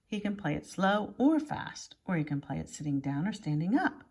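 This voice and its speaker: A woman's voice: on the low side, sing-song, moderate pace, a little mellow, slightly rough. A woman reading a children's picture book aloud like a schoolteacher, expressively and with exaggerated emphasis.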